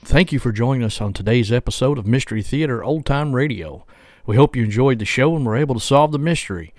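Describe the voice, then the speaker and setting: A man's voice: medium pitch, lively intonation, quick pace, darkish tone, no echo, clean studio sound, faint music behind. A man hosting a podcast, reading a scripted, courteous sign-off. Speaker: podcast host.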